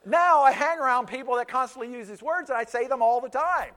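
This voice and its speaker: A man's voice: very high-pitched, a little fast, a bit bright. A man in his fifties whose first language was Pennsylvania Dutch, preaching a sermon in a conversational, storytelling way with frequent restarts and repeated words. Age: 50s. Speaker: pastor.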